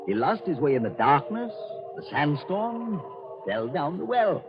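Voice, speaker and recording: An actor playing a coldly menacing, arrogant colonel, in 1940s radio style, formally polite and sardonic. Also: male voice, fairly high pitch, sing-song, unhurried. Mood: cold, threatening. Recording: muffled 1940s radio recording with music.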